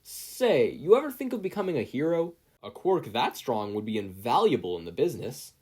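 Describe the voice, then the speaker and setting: A man's voice: medium pitch, sing-song, moderate pace, darkish tone, slightly rough, pitched above the performer's own voice. A man voicing a hearty, earnest older hero and kindly mentor, speaking in an emphatic, encouraging way for an audiobook. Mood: impressed, encouraging. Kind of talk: audiobook character voice.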